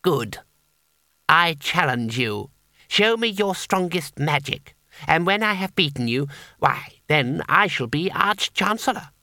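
A man voicing a young boy who speaks slowly, deliberately and unflinchingly. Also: fairly high pitch, animated, bright, forceful tone, no echo, voice pushed up, exaggerated voice. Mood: defiant. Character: young boy, calm, unblinking and challenging.

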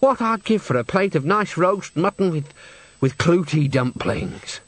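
A man voicing a wistful opera singer from rough city slums, hesitant and complaining, in a storybook reading. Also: on the high side, expressive, a little slow, a bit bright, dry recording, voice pushed up. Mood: plaintive.

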